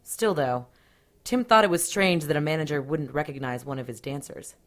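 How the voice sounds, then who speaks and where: A woman's voice: low-pitched, normal speed, close to an everyday voice. A woman playing a cool, capable police detective in an audio drama, matter-of-fact with a dry edge.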